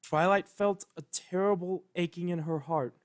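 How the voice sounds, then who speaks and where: A man with a fairly high voice narrates a piece of fan fiction. He reads from a script in a dramatic storytelling style. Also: steady tone, moderate pace.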